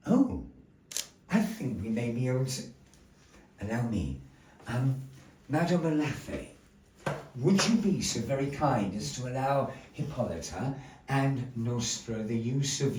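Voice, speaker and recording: A man's voice: mid-range voice, darkish tone. A man acting as master of ceremonies at a puppet show in a gallery, compering with flamboyant, mock-dramatic showmanship. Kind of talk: theatrical stage compering. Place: indoor gallery venue. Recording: roomy, distant, faint background noise.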